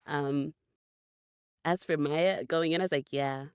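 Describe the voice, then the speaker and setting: A woman's voice: low voice, fairly even intonation, unhurried, clean tone, no room sound, studio quality. A woman who works as a voice actor, chatting in an upbeat, informal way as an interview guest. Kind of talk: casual interview chat.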